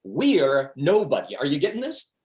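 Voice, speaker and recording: A man's voice: on the high side, wildly swooping pitch, normal speed. A man playing a cocky, foul-mouthed slacker schemer in a comedy table read over a video call, talking in pushy, emphatic slang. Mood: excited, persuasive. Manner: slangy, emphatic, swinging between hushed and pushy.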